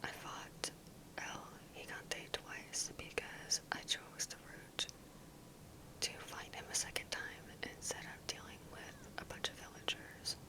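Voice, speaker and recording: Softly whispering woman, ASMR style. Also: slow pace, rough recording. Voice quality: breathy unvoiced whisper. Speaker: ASMR gaming video creator. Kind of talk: whispered ASMR talk reflecting on a video game.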